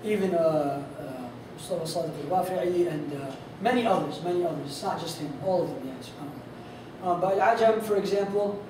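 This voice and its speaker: A man with a high voice teaches a casual lesson, talking in a loose, rambling way full of fillers. His voice is strained, as if he is losing it. He sounds far from the mic, in a very echoey hall with a faint hiss behind him. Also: a little fast. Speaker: instructor on Quran recitation. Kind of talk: informal lesson with sung demonstrations.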